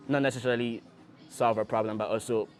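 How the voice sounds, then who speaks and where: A young man from Ghana, a startup founder, answering interview questions in a relaxed way with many pauses and ums. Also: mid-range voice, faint music behind. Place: restaurant table, by the sea.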